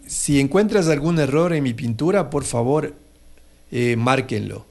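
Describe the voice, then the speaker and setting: Male radio host, gravelly, hesitant storyteller. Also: mid-range voice, lively intonation, unhurried, darkish tone. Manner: hesitant, many fillers and restarts. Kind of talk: casual on-air talk with a moral story.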